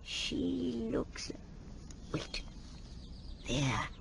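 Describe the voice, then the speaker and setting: An actress playing a kindly old serving woman in a fantasy audio drama, coaxing tenderly in a hushed, breathy voice. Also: on the high side, sing-song, very slow, a little hiss or hum, heavily acted. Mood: hushed anticipation. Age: older.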